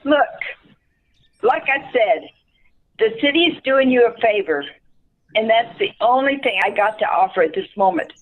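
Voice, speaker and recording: Woman playing a brusque, high-voiced tired caseworker. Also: lively intonation, moderate pace, pauses often, narrow, tinny audio. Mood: weary, dismissive. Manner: impersonal, dismissive. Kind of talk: amateur radio play acting.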